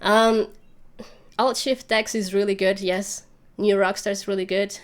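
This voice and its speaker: A woman's voice: mid-range voice, flat delivery, measured pace, clear, resonant tone. A woman hosting a livestream, chatting casually and rambling as she thinks aloud while answering viewer questions. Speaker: YouTube channel host.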